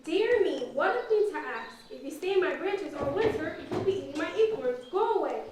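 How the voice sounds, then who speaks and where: A woman's voice: high-pitched, lively intonation, fairly quick. A woman playing a big, selfish, grudging oak tree in a puppet show. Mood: indignant. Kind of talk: puppet show stage acting. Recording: echoey distant room, clear noise.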